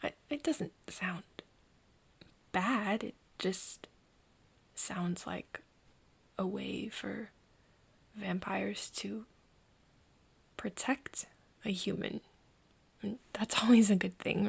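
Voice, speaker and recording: A woman playing a frightened but stubborn human confiding in a vampire friend in an intimate ASMR audio drama, with a husky, breathy voice and hesitant delivery. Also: medium pitch, heavily acted. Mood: puzzled, tentative. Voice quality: breathy, husky, often dropping to a whisper. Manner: hesitant, halting, stammering over words.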